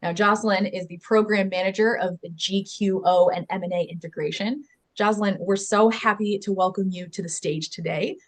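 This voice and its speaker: A woman's voice: medium pitch, fairly even intonation, normal speed. Chatty woman hosting a webinar. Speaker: webinar host.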